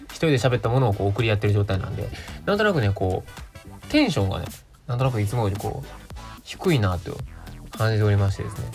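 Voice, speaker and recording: A man's voice: fairly low pitch, expressive, fast talker, vocal fry, darkish tone, soft background music. A man around thirty with a Kansai accent, hosting a casual radio show as a solo monologue.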